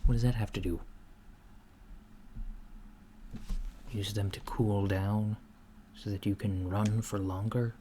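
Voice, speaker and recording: A man playing a mild-mannered, curious alien interviewer in softspoken ASMR roleplay, asking hesitant, politely puzzled, clinical questions. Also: fairly low pitch, fairly even intonation, normal speed, natural voice. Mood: calmly inquisitive. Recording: clear background noise, keyboard typing. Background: computer keyboard typing.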